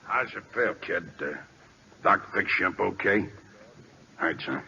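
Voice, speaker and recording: A man with an American accent playing a folksy, gruff, loyal old-hand ballplayer in radio drama, slangy and plainspoken. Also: low voice, expressive, a little slow, pushed and bright, slight background noise, exaggerated voice. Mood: reluctant, sorrowful. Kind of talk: 1940s radio drama acting. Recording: dull old radio recording.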